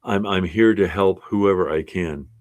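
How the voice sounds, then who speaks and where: Slow, deep-voiced older man instructor. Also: darkish tone. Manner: earnest, plainspoken and encouraging. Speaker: art resilience instructor. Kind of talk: casual encouraging class talk.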